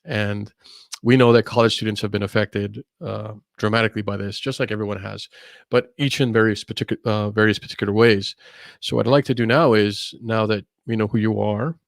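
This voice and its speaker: A middle-aged man hosts an online panel with a low, gravelly voice. He talks in a relaxed, easygoing way and leans on fillers. The sound is dry and close. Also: fairly quick, dry recording. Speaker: host.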